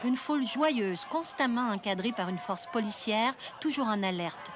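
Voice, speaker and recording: A woman narrating a television news report in a measured broadcast style. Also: a little high, lively intonation, very noisy.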